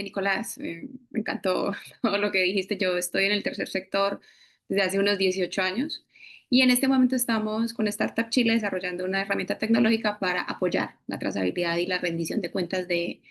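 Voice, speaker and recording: A woman's voice: mid-range voice, steady tone, a little fast, vocal fry. A woman with a Colombian accent taking part in a webinar, putting a question in a conversational, explanatory way. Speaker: webinar participant asking questions.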